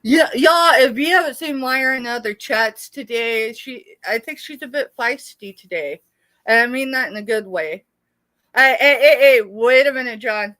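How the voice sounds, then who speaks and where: The middle-aged American woman you'd find running a small online sale, chatting away in a highish voice, close and dry. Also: moderate pace, sharp tone, no echo. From United States, born in Texas. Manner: chatty, rambling, addresses viewers familiarly. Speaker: live sale host and small seller. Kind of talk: casual live-stream chat with viewers.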